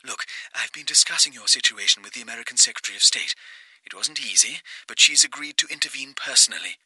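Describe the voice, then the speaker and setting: Man voicing a soft, cool businesslike woman. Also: mid-range voice, expressive, fast, breathy edge, dry recording, pitched above the performer's own voice, exaggerated voice, low-quality recording. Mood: brisk, businesslike.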